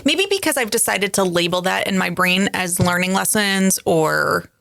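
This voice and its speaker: Gravelly playful woman in her forties. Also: fairly low pitch, normal speed, fairly bright tone, no echo, clean studio sound. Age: 40s. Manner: playful, reads card text with comic asides. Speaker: podcast co-host. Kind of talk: casual chat, reading tarot cards.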